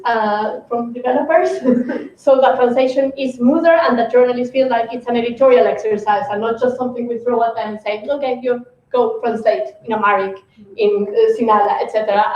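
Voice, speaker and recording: Brisk woman presenting in an echoey room. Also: fairly high pitch. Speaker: hackathon team member presenting. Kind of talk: live pitch to an audience. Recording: echoey room with a dull, lo-fi sound.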